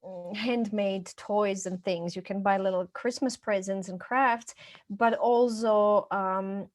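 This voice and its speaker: A woman's voice: medium pitch, fairly even intonation, slow. A middle-aged woman from Croatia, chatting casually as a podcast guest and telling about her life. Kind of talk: casual conversational storytelling.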